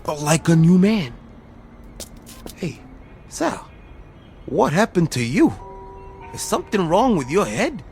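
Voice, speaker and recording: A shabby street drunk with a high voice that swings up and down. He rambles in slang, in television drama style over faint music. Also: sing-song, slow, vocal fry, pauses often, darkish tone, exaggerated voice. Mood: chatty. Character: shabby down-and-out drunk man, jittery and chatty.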